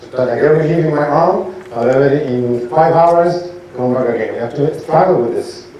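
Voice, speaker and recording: A man gives an informal lecture as a doctor, speaking haltingly and asking his audience questions. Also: fairly high pitch, dark tone, muffled recording. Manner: halting, restarts sentences, asks audience rhetorical questions. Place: lecture hall. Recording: echoey hall, from a distance, faint noise.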